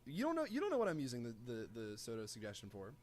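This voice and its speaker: Man, game master, live improvised tabletop narration, halting delivery, searching for words. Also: medium pitch, huge pitch swings.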